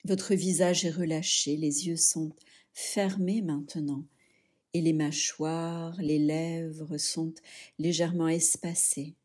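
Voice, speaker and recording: A woman leading a guided yoga relaxation, speaking softly and soothingly in short phrases with pauses. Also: low-pitched, fairly even intonation. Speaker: yoga nidra instructor.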